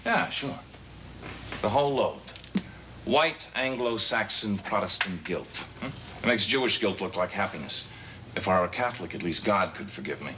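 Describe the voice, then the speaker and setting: A man's voice: on the low side, expressive, moderate pace, noisy background, close to an everyday voice. An actor playing a bitter, wisecracking man in his forties who is fed up with his life, in a 1970s TV movie. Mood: sardonic. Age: 40s. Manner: wisecracking, sarcastic, exasperated. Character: bitter, sardonic middle-aged man in therapy.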